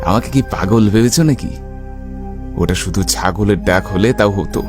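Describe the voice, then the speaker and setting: A man's voice: on the low side, measured pace, music in the background, natural voice. A man playing an uneasy, exasperated village tradesman in a cartoon, earnest and grumbling. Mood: exasperated, insistent. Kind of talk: cartoon voice acting.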